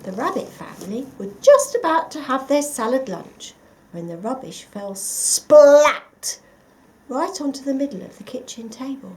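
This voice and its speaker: A woman reading a children's picture book aloud, with expressive, dramatic emphasis for young listeners. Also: high-pitched, a little slow, low-quality recording. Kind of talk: expressive scripted story narration.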